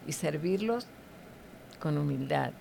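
Low, very creaky-voiced woman. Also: expressive, slow, dark tone, slight background noise. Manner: didactic, with short tag questions seeking agreement. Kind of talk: devotional teaching in conversation.

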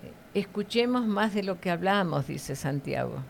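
A woman's voice: mid-range voice, lively intonation, normal speed, creaky, slight background noise. A middle-aged woman giving devotional teaching in conversation, didactic and often checking for agreement with short tag questions.

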